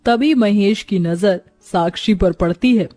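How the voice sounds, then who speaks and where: A woman's voice: mid-range voice, a little slow, warm tone, no echo, faint music behind. A woman narrating a scripted moral story as its storyteller.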